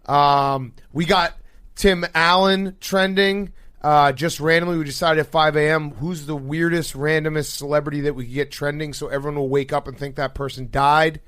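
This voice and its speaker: Sleep-deprived man, higher voice, dry sound. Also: steady tone, moderate pace, fairly bright tone, clear, resonant tone, dry recording. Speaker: podcast host. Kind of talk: casual sports comedy banter.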